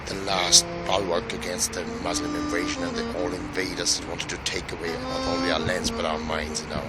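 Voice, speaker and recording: An Austrian man, a native German speaker, delivering a solemn, grandiose, halting monologue with emphatic repetition, outdoors on a city square. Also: low voice, monotone, over loud music. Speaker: speaker in a self-made video statement. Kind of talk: impassioned declamatory monologue.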